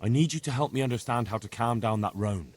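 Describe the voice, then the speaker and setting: A man's voice: mid-range voice, fast talker, slightly rough, lightly acted. A man playing an earnest, polite young medieval horseman in a video game cutscene.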